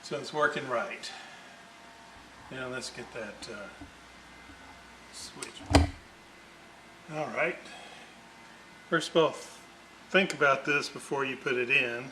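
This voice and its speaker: A man giving an unscripted hands-on workbench demonstration as a hobbyist restorer, haltingly thinking aloud as he works. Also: mid-range voice. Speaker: hobbyist restorer presenting a repair video. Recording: noisy room recording with clear background noise.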